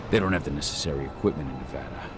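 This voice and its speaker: Game hero: blunt, determined fighter and father, deep and grim, under a loud score. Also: racing. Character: gruff determined male werewolf warrior, a father, blunt. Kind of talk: video game cutscene acting. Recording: loud cinematic music under the voice.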